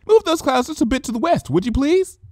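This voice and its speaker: A man voicing an excitable, bossy young female cartoon pony in a fan fiction reading, speaking in exclamations. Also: very high voice, fairly quick, dry recording, clean studio sound, voice pushed up. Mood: upbeat, pleading.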